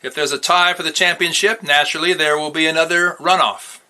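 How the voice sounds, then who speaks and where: A man's voice: on the high side, steady tone, brisk, pushed and bright, pitched above the performer's own voice. A man voicing a formal head judge announcing the rules of a hunting contest in a storybook reading.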